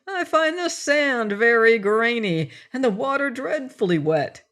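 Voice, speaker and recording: A woman's voice: on the high side, animated, a little slow, a bit bright, pitched above the performer's own voice. A woman voicing a fussy, self-important little man who complains and wails. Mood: grumbling. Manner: whining, fretful, wailing.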